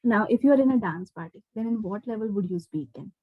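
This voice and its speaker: A woman's voice: medium pitch, lively intonation, fast, husky, dry recording, narrow, tinny audio. A woman with an Indian accent teaching an interactive spoken English lesson online, instructing and often checking understanding with short questions. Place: online live class.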